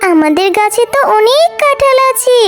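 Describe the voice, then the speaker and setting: A woman's voice: extremely high pitch, big pitch swings, moderate pace. A woman voicing an eager, innocent young schoolgirl animal in a children's cartoon, childlike and earnest, with music behind her. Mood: pleading.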